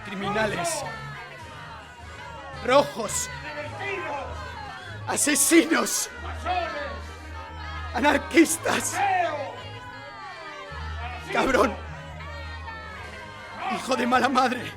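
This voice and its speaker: An actor playing an anguished father who forces himself to speak out loudly and emphatically in a radio drama. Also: very high voice, drawn-out words, pauses often, exaggerated voice. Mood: forced rage. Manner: loud, strained, emphatic. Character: anguished father forcing himself to hurl insults. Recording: loud music and crowd behind. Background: music and outdoor crowd.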